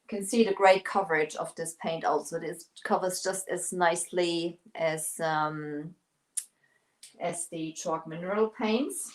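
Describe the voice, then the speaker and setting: German lady doing a live painting demo, lowish voice, echoey room, hunts for words a lot. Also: fairly even intonation, unhurried. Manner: searches for words, restarts often, chats to viewers. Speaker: painting livestream host.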